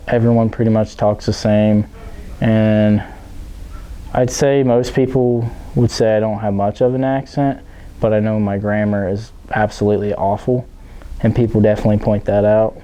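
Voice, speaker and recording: Low, mellow-voiced man. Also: little pitch movement, moderate pace. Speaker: interviewee. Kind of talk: plain, casual interview answers.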